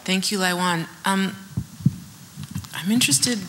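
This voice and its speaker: A woman moderating a panel informally, reflecting aloud and pausing between phrases. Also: medium pitch, background noise. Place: indoor event stage.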